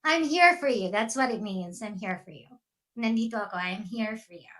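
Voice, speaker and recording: A woman's voice: medium pitch, fairly quick, a bit bright. A woman from the Philippines, a singer talking casually and in a rambling way between songs at a virtual concert. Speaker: singer, performer.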